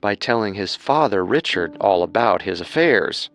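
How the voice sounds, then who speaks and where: A man's voice: on the low side, animated, normal speed, a bit bright. A man co-hosting a true-crime show with scripted narration. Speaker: co-host, narrator.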